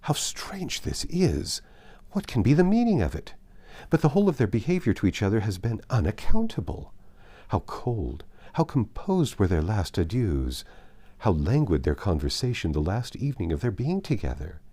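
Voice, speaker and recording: A man's voice: on the low side, huge pitch swings, normal speed, warm tone, pitched above the performer's own voice. A man voicing a passionate, dramatic girl of seventeen from a period novel, earnest and emphatic. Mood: incredulous, dramatic.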